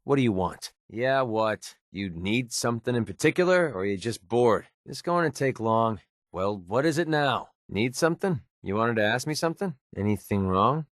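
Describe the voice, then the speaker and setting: A man's voice: medium pitch, animated, normal speed, dry recording, studio quality, close to an everyday voice. A man voicing a cocky, sardonic young mercenary companion in a video game, casual and wry, loyal underneath. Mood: wry, impatient, then friendly. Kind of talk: video game voice acting, short companion lines.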